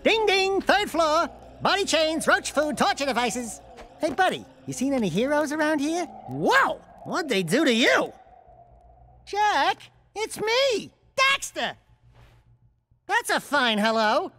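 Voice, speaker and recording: A man voicing a small, cheeky, excitable talking animal sidekick in a video game, wisecracking and complaining dramatically. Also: very high-pitched, takes it slowly, slight background noise, exaggerated voice. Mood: wisecracking, exasperated. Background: music.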